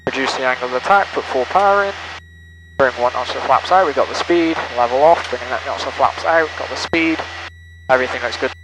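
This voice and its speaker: A man's voice: mid-range voice, a little fast. A man piloting a small plane, talking through each manoeuvre in clipped, repetitive checklist phrases and making radio calls. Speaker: pilot and flying vlogger. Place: small plane cockpit in flight. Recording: loud engine noise in small aircraft. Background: loud steady aircraft engine and radio.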